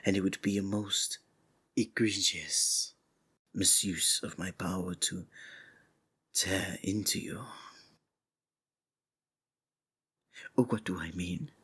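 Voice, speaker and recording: A man playing a sinister, seductive cult preacher in an intimate ASMR roleplay, husky and breathy, often sinking into whispers, with archaic, formal endearments. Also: low-pitched, steady tone, takes it slowly, pushed and bright, heavily acted. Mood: ominous, seductive.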